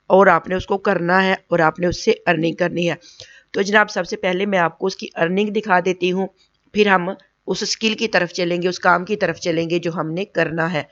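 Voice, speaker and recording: A woman with a sore, hoarse throat hosts a casual screen tutorial. She repeats points patiently and keeps checking in with her viewers. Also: lowish voice, fairly even intonation, moderate pace, a bit bright, dry recording, muffled recording. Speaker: online earning tutorial host.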